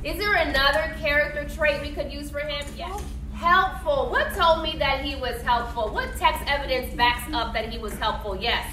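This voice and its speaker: A woman's voice: sits high, normal speed, hardly any pauses. A woman teaching young pupils in a classroom, asking questions and explaining in an encouraging, didactic way. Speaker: elementary school teacher. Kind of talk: classroom read-aloud discussion, questioning pupils. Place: indoor classroom. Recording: echoey, noisy classroom from a distance.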